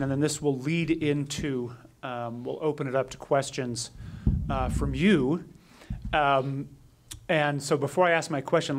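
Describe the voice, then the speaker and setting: A middle-aged man moderating a university panel from a podium, with many fillers and a procedural manner. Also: fairly high pitch. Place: university lecture room. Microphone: podium mic.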